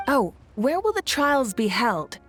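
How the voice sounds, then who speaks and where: Composed leader over music. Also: female voice, sits high, very animated, slow pace, exaggerated voice. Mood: assured, friendly. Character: composed, approachable adult female leader of a warrior nation. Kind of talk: video game voice acting. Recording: music under the voice.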